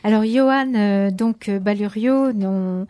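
Radio host, woman, mellow, dry studio sound, halting delivery with fillers. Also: medium pitch, no room sound. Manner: hesitant, many fillers and self-corrections. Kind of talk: casual radio interview.

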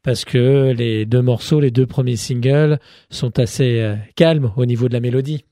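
A man's voice: medium pitch, steady tone, moderate pace, warm tone, dry recording, clean studio sound. A man working as an interviewer, asking casual questions in an informal, somewhat hesitant way.

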